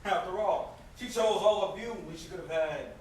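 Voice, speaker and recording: A man's voice: sits high, normal speed, lightly acted. Male actor as theatrical, taunting stage villain, bitter over lost love; roomy, distant recording with faint noise. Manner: theatrical, mocking, sardonic. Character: smug, taunting male killer obsessed with a lost lover. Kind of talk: amateur stage play acting. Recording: echoey, noisy room from far off.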